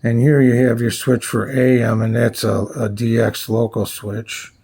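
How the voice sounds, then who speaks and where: A man giving a casual, unscripted product walkthrough as a reviewer, plainspoken and halting as he thinks aloud. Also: mid-range voice, little pitch movement, darkish tone. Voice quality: gravelly, husky. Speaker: radio reviewer presenting his channel.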